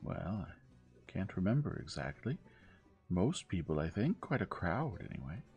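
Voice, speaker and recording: An evasive middle-aged gentleman acted by a male audiobook reader, folksy and blustering as he protests his innocence. The voice is low and creaky, with very animated swings in pitch. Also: a little slow, highs cut off, faint music behind, heavily acted.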